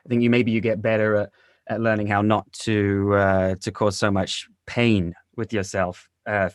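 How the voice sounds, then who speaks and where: A man with a fairly low voice reflects conversationally in a dry-sounding recording. He rambles and halts, doubling back on his words as he goes. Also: no room sound. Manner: halting, repeats words, rambling. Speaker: interview guest.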